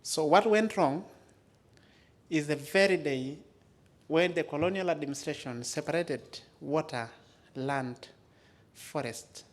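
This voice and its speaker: A man with an East African accent and Maa as his first language gives a storytelling speech as a community speaker, in short, deliberate, emphatic phrases. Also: a little high. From Maasai areas of Kenya and Tanzania.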